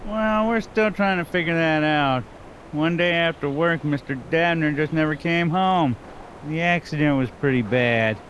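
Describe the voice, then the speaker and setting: A man playing a folksy, placating small-town lawman in an animated game, breezily evasive. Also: on the high side, a little slow, slight background noise. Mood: matter-of-fact.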